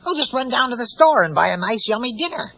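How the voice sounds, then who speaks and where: A man voicing a zany, enthusiastic oddball creature with a raspy voice for a children's story. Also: very high-pitched, big pitch swings, fast talker, sharp tone, full character voice. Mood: confident. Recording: dull cassette tape with music.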